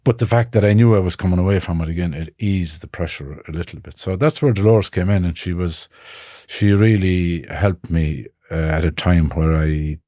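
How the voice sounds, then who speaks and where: Deep-voiced Irish man, reflective. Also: warm tone, no room sound, studio quality. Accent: Irish, Connemara. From Ireland. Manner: reflective, halting, with frequent restarts. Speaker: guest. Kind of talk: personal life story in conversation.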